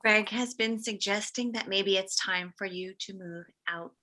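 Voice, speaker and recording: A woman's voice: mid-range voice, unhurried, bright, forceful tone. A woman playing a chiding, oblivious mother of a grown son in a comedy script read. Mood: oblivious, coaxing. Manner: chiding, emphatic.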